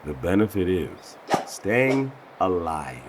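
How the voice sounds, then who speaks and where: A man's voice: low voice, very animated, takes it slowly, heavy background noise, exaggerated voice. A man playing a tough, teasing survival trainer in an audio drama, blunt and instructive. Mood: stern, instructive. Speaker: combat mentor character. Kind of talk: acted audio drama dialogue.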